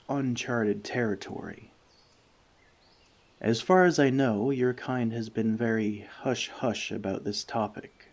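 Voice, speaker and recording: Guy doing a friendly male elf for asmr roleplay, soft n slow, bit of gravelly fry, kinda formal and reflective. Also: mid-range voice. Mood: surprised, reflective. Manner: measured, slightly formal wording, reflective. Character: friendly male forest elf, gentle, thoughtful, long-lived. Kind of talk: soft-spoken ASMR roleplay addressed to the listener.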